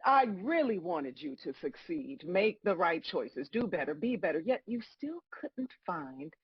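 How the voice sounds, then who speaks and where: An older woman playing a folksy, unflappable female God in a comedy table read, husky, wry and matter-of-fact. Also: on the low side, sing-song, measured pace, no room sound, lo-fi sound, full character voice. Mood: disappointed, patient. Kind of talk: live table read acting.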